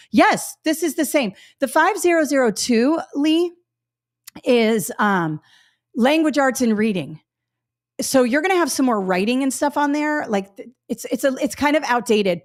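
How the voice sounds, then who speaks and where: A woman running a test-prep webinar, explaining reading instruction in a conversational, encouraging way with frequent fillers and check-ins. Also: mid-range voice. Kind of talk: live webinar teaching and reading chat questions.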